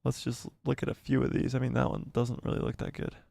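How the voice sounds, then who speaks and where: A man hosting a live stream, chatting casually and reading out viewer comments. His voice is going hoarse and he rambles and repeats himself as he thinks aloud. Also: medium pitch, completely flat delivery, quick pace, mellow, dry recording. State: losing his voice, coughing. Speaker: livestream host.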